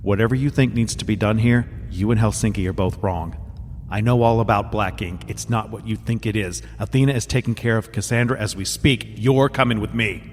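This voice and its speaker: A man's voice: fairly low pitch, steady tone, brisk, a little mellow, slight background noise, close to an everyday voice. Man acting a curt agent in long reverb. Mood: exasperated, firm. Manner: curt, commanding. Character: blunt, impatient male special agent hero. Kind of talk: audio drama acting. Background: music.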